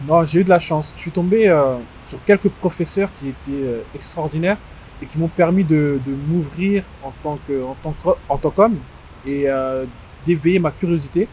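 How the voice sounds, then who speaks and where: A man in his twenties who grew up in Strasbourg, giving conversational interview answers with frequent mid-phrase pauses. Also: on the high side, a little mellow, a little hiss or hum. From born in DR Congo, grew up in Strasbourg, France. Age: 20s. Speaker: interview guest, fashion house founder. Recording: dull muffled sound with music behind. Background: music.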